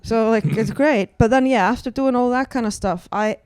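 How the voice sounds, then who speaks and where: A woman's voice: fairly high pitch, normal speed, darkish tone, smooth, no room sound. Icelandic woman telling wry stories. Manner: wry, self-deprecating storytelling with many fillers. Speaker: guest comedian. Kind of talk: casual podcast chat.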